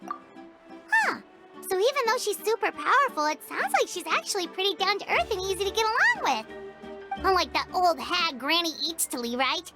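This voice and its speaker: A woman voicing a tiny, excitable, blunt fairy companion for a video game, with a squeaky childlike voice. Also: normal speed, heavily acted. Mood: indignant. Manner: refers to self in third person, outspoken. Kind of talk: English video game voice acting. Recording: studio voice under loud game music. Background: loud music.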